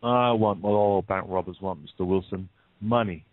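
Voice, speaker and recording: A man's voice: low voice, moderate pace, close to an everyday voice. A man playing a smug, menacing bank robber in an audio play, speaking with taunting, mock-polite deliberation. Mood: cold, menacing. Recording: muffled narrow phone-like sound.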